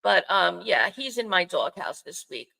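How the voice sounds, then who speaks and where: A woman's voice: lowish voice, very animated, measured pace, vocal fry, pushed and bright, slight background noise, rough recording. An older woman with a Bronx accent, co-hosting a sports podcast in casual, unscripted chat with wry, self-mocking asides. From the Bronx, New York, United States.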